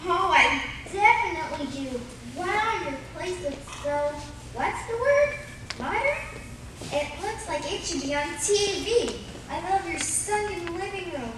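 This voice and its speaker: A woman's voice: very high voice, expressive, measured pace, fairly bright tone, heavily acted. A woman playing an earnest, chatty second-grade girl in a live radio play, wide-eyed and dramatic. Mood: excited, admiring. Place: theater stage. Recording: roomy live stage recording, distant, noisy.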